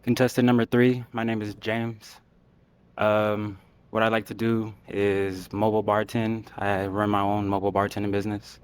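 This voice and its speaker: A man's voice: lowish voice, flat delivery, moderate pace, clean tone. A man introducing himself on a dating show, plain and matter-of-fact.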